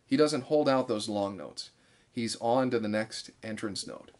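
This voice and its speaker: A man in his thirties giving an instructional talk as a musician teaching, explaining things in a deliberate way. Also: lowish voice, darkish tone. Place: small bare room indoors.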